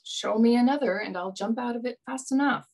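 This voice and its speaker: A woman's voice: on the high side, fairly quick, a little mellow. A woman playing a brash, wry young male adventurer in a radio play, with a dry, teasing manner. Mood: brash, eager.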